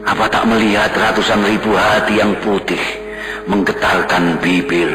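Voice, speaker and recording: A man giving a solemn, contemplative poetry recital in a slow, devotional manner. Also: lowish voice, flat delivery. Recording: roomy echo with music clearly underneath.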